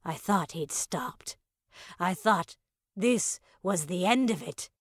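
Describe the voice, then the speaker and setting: A woman as a slow, wise, raspy mystic. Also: on the low side, lively intonation, dry recording, studio quality. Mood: uneasy recounting. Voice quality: slightly raspy. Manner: measured, solemn, cryptic storytelling. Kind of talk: audio fiction acting.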